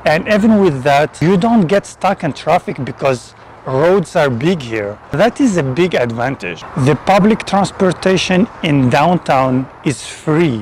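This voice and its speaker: Tunisian-born man explaining, slightly high voice. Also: a little hiss or hum, low-quality recording. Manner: non-native English with small grammar slips, conversational. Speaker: immigration vlogger. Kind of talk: direct-to-camera explainer. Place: outdoors in a city park. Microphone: lapel mic.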